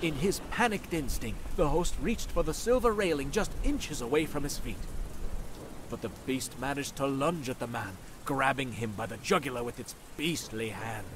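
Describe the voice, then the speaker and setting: A man's voice: medium pitch, lively intonation, normal speed, pauses often, background noise. A man narrating a horror story in a dramatic, theatrical and emphatic way. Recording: loud music under the voice.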